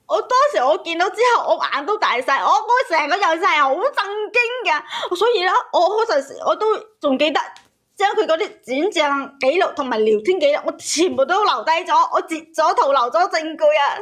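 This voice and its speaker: A woman's voice: very high-pitched, lively intonation, brisk, fairly bright tone. A woman with a Cantonese accent telling her personal story as a caller, agitated and emphatic, often repeating her phrases. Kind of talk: emotional personal storytelling on a call-in show.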